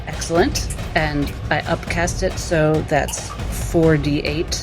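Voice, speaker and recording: A woman's voice: sits low, fairly even intonation, slow, over music. A woman playing a tabletop role-playing game, narrating what her character does.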